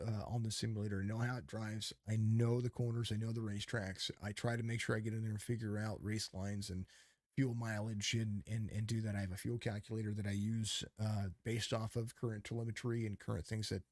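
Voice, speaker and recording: Male podcast host, mid pitch, dry close sound, emphatic, rambling delivery. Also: dry recording. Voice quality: calls his voice fit for radio. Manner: rambling, many restarts and fillers, emphatic. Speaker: podcast host and race commentator. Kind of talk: casual podcast chat.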